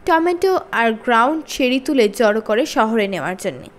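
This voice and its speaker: High-pitched, lively woman storyteller. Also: moderate pace. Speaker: storyteller reading aloud. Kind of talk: expressive children's story narration.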